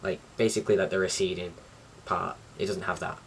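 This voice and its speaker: Low-voiced young man, chatting casually. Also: flat delivery, brisk, creaky, fairly bright tone. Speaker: vlogger. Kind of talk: casual chat to camera. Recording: distant mic with faint background noise.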